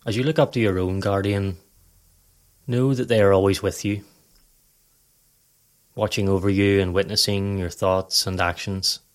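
Low-voiced man guiding a meditation. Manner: calm, measured, instructional. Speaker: guided meditation narrator. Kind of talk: scripted guided meditation narration.

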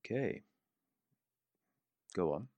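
A man's voice: drawn-out words, dark tone, dry recording. A man voicing a haughty, impatient male mayor who speaks in a clipped, formal and dismissive way.

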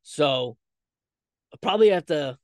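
Clear-voiced man chatting casually on a podcast. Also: fairly high pitch, expressive, measured pace, darkish tone, dry recording, clean studio sound. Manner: rambling, self-deprecating, informal. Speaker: podcast host. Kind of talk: casual solo podcast chat.

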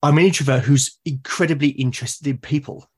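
Husky man from Britain, reflective podcast guest. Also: mid-range voice, brisk. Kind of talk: casual reflective conversation.

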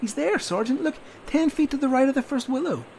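Male narrator doing a young, nervous soldier, high and urgent, storybook style. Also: big pitch swings, fast, a little mellow, slight background noise. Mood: eager. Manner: higher, eager, anxious replies.

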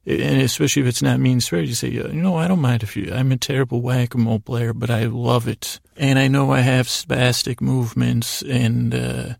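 A man with a heavily creaky, gravelly voice hosts a sleep podcast. He keeps his pitch fairly even as he meanders through halting, self-interrupted sentences. The delivery is lulling and mildly self-deprecating, recorded close and dry. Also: dry recording. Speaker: podcast host. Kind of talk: rambling bedtime monologue to help listeners fall asleep.

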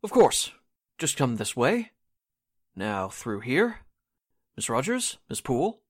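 A man playing a brisk, genial radio station host in a 1940s-style radio drama. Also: medium pitch, wildly swooping pitch, slight background noise. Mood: genial, businesslike. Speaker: actor in an amateur radio drama re-creation.

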